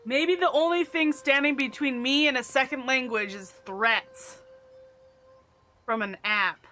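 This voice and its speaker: A woman's voice: high-pitched, unhurried, sharp tone, faint music behind. A woman streaming a video game, chatting casually and playfully, often laughing at herself. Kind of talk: casual gameplay commentary.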